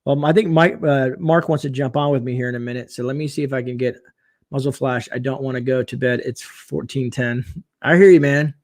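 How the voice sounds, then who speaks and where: A man hosting a late-night livestream, his voice sitting at an ordinary middle pitch. He talks loosely and chattily, with lots of fillers, and reads viewer comments aloud. His voice is going a little hoarse. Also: fairly even intonation, a little fast, a little mellow. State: voice getting hoarse. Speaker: livestream host.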